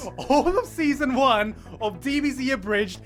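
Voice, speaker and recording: A man giving scripted comedic commentary in an animated, sweary manner with sarcastic exaggeration. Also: very high voice, normal speed. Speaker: video essayist.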